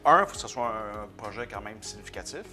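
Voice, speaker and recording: A man's voice: on the low side, lively intonation, quick pace, pushed and bright, soft background music. A man with a Québécois French accent, a company president explaining his work in a casual interview, matter-of-fact. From Quebec.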